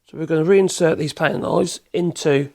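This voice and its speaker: Hoarse man, gravelly. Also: on the high side, normal speed, warm tone. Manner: rambling, chatty, self-correcting, chuckles. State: hoarse with a cold. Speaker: woodworking channel host. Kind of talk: casual workshop demonstration. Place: workshop.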